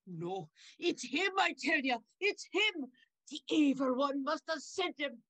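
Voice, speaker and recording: A woman playing a frightened, paranoid old lady of a great house in a gothic audio drama, agitated and halting. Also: sits high, lively intonation, fairly bright tone, heavily acted. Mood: fearful insistence. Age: older.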